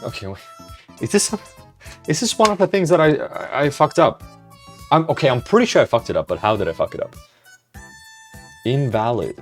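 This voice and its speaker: A man's voice: medium pitch, big pitch swings, a little fast, long pauses, soft background music. A young man answering viewer questions in a casual vlog, rambling, self-mocking and swearing offhandedly. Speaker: vlogger.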